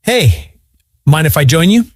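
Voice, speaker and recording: A man's voice: on the high side, very animated, measured pace, no room sound, studio quality. A man playing a friendly, concerned young superhero in an audio drama, casual and earnest.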